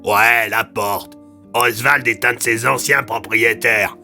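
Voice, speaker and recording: A man's voice: medium pitch, fairly even intonation, slow pace, bright, forceful tone, full character voice. Give this part to a cheeky raven, played by a man, who talks in street slang with a sly, teasing familiarity. It is a character from an audio serial, set over music.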